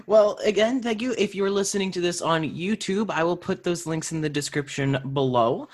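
Chatty podcast host, medium pitch, informal and casual delivery. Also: normal speed. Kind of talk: casual podcast hosting and sign-off.

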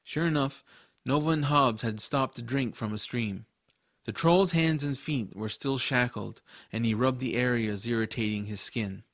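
A man playing a casual, excitable boy hero, telling a fantasy adventure in the first person. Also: medium pitch, normal speed, no echo, clean studio sound. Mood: narrating. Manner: casual, boyish phrasing. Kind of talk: first-person audiobook narration and drama.

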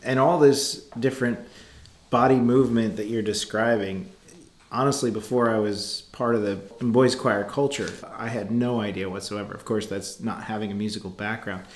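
Male host, a bit low, curious questions, echoey room. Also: steady tone, moderate pace. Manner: curious, affirming. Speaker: interviewer. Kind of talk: interview questions.

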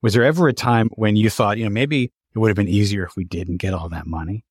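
A man hosting a casual interview, talking in an animated, rambling way and often stammering or repeating words. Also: fairly low pitch, fast, slightly rough, dry recording, clean studio sound. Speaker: interviewer, podcast host.

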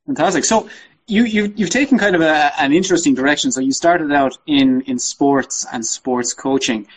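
A man's voice: a little high, normal speed, breathy edge. A man hosting a podcast, asking his guest questions in a casual, polite, conversational way. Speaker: podcast host, interviewer.

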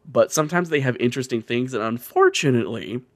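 A man's voice: medium pitch, lively intonation, quick pace, no room sound. A man hosting a casual podcast chat in a wry, joking, sweary way. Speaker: podcast host.

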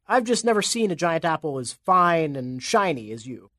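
Man playing a young storybook boy, high lively voice, polite, puzzled, coaxing. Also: normal speed, no room sound, clean studio sound. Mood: polite, wary. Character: imaginative, polite young boy facing a giant.

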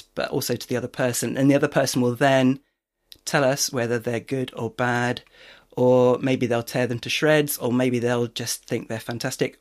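A man hosting a podcast in relaxed, chatty talk, laughing often. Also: medium pitch. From northeast England. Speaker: podcast host. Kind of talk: casual chat.

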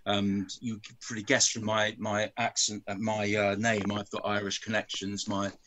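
Hesitant older man, full of pauses. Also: lowish voice, level tone, bright, forceful tone. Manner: hesitant, many ums and pauses. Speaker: guest. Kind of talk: casual video-call chat. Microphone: video call.

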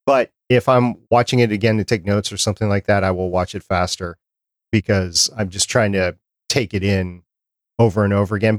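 A man's voice: lowish voice, no echo, clean studio sound. A man hosting a podcast, chatting casually as he interviews a guest.

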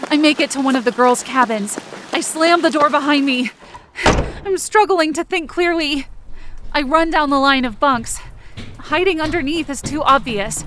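Actress as scared young woman telling her own horror story, high tense voice, urgent, noise behind her. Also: lightly acted. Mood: tense, hushed. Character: frightened, determined young woman narrating her own ordeal. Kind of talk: first-person audio fiction narration, present tense.

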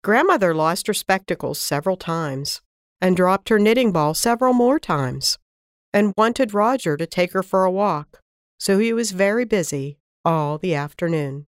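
A woman reading a classic children's story aloud in an expressive, warm storytelling manner on a close studio mic. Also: lowish voice, normal speed, no room sound.

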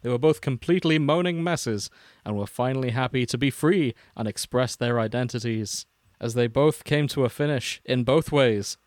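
British man, podcast reader: close dry sound, mid-pitched, comic dramatic narration broken by deadpan asides. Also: no room sound. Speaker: podcast host reading fan fiction aloud. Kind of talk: dramatic comic reading with casual banter.

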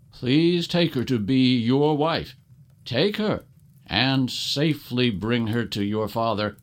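A man acting as a solemn, plain-spoken father of the bride in an audio drama, deliberate and ceremonial. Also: mid-range voice.

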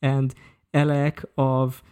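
Male co-host, middle pitch, casual podcast chat, wry and joking delivery. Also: monotone, slow pace, dark tone, smooth.

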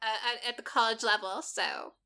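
A woman's voice: a little high, a little fast, gravelly, pushed and bright. A woman who teaches college, talking as a podcast guest; articulate and wordy, with stammered restarts. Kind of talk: casual podcast chat.

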